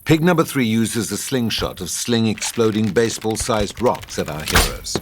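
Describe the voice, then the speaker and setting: A man's voice: fairly low pitch, animated, moderate pace, over loud music. A man reading scripted screenplay narration as the narrator of a table read.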